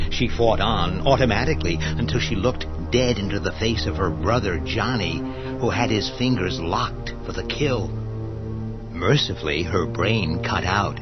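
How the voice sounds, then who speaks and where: A man narrating a scripted horror radio drama in a measured, dramatic storytelling manner. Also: low voice. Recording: dull lo-fi audio with music.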